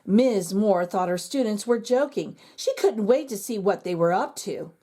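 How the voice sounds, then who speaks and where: A woman reading a children's picture book aloud in an expressive, sing-song storytelling manner. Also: medium pitch, normal speed. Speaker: children's book reader, channel host.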